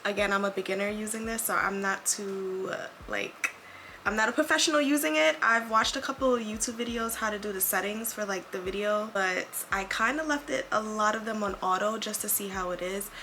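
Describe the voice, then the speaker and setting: A young woman with a raspy voice, chatting casually to her camera while vlogging, thinking aloud and poking fun at herself. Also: mid-range voice, fairly even intonation, brisk, bright, forceful tone. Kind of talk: casual vlog talk to camera. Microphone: handheld pocket camera mic. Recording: noisy handheld camera audio.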